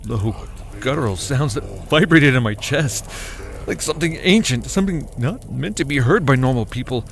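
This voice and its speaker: A man playing a weary husband and father, narrating a horror audio drama in the first person with dramatic pauses. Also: mid-range voice, expressive, gravelly, slight background noise. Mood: tense, frightened. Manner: slow, dramatic storytelling with heavy pauses. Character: weary husband and father recounting a terrifying cabin getaway. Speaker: narrator and actor.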